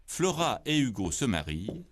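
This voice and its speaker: A man narrating a scripted children's story in an expressive, theatrical way. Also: mid-range voice, a little slow, slight background noise. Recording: music playing behind the voice.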